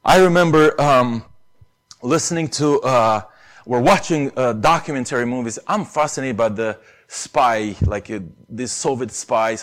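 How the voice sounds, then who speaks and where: A man with a Romanian accent preaching a sermon earnestly, pressing hard on key phrases. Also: mid-range voice. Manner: earnest, rises to emphatic stresses on key phrases.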